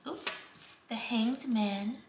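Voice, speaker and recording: A woman from Singapore, doing a casual tarot reading in a gentle, unhurried way with many fillers, dropping to a near whisper as she draws cards. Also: fairly high pitch. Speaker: tarot reader. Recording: echoey room, faint background noise.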